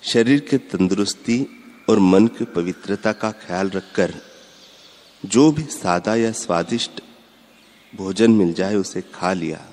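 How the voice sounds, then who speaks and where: A man giving a measured, instructive spiritual discourse, repeating his points. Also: low voice, a little mellow. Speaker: spiritual speaker. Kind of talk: slow devotional discourse. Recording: long hall reverb.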